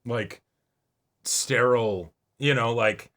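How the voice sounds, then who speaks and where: A man's voice: medium pitch, slow, fairly bright tone. A man co-hosting an anime reaction show, talking casually in a reflective, agreeable manner.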